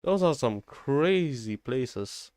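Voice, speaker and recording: A man giving casual live-stream game commentary in a laid-back, halting, slangy way. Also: mid-range voice, animated, darkish tone, no room sound, studio quality. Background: game music.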